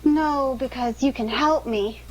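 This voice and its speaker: Lady acting as worried 40s radio damsel, highish voice, earnest n pleading, bit of hiss. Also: a little slow, rough recording. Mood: anxious. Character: anxious, pleading young female accomplice in trouble. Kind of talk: 1940s radio drama acting.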